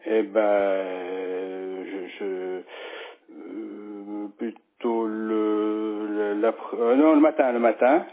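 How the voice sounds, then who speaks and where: A man's voice: fairly low pitch, fairly even intonation, drawn-out words. A man of sixty talking casually as a customer on a phone call, hesitant and stammering, often repeating his words. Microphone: telephone.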